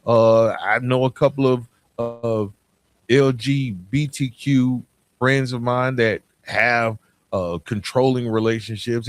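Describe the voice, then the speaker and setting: A man's voice: medium pitch, dry recording. A man hosting a podcast, giving a motivational talk straight to listeners in an emphatic, direct way, repeating phrases for weight. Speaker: podcast host.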